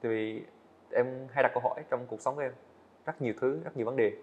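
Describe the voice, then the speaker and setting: An earnest eighteen-year-old man tells his story to a podcast host. He talks quickly at a mid pitch, and the sound is a little dull. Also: steady tone, darkish tone. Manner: earnest, storytelling, answers politely with "dạ vâng". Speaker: guest, animator. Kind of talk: podcast interview. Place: indoor studio set.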